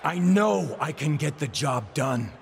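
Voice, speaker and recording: Earnest, gravelly wrestling champion. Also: male voice, fairly high pitch, lively intonation, a little slow, noisy background, full character voice. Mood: pleading. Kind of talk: dramatic wrestling game cutscene acting.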